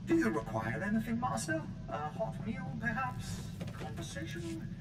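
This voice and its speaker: An actor voicing a timid, fussy servant droid eager to please, in video game dialogue. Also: male voice, very high voice, wildly swooping pitch, moderate pace, big-room sound, soft background music, heavily acted. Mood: servile, hopeful. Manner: fussy, servile, hesitant.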